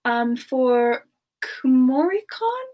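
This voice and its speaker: Woman, high voice, unhurried delivery, halting and giggly, for an in-character semi-ASMR livestream chat. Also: big pitch swings, mellow. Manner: halting, musing aloud, giggly. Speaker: livestream host in character, answering viewer questions.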